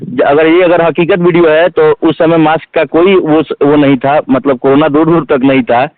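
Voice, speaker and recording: A man being interviewed, speaking in a halting, repetitive, emphatic way. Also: fairly high pitch, fairly even intonation, dry recording. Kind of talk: phone interview. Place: phone call. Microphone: telephone. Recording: tinny phone call recording.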